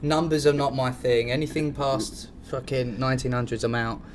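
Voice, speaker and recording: A man's voice: medium pitch, fairly even intonation, moderate pace, slight background noise. A man co-hosting a podcast in casual comedic chat, swearing freely in a slangy, informal way. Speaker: podcast co-host.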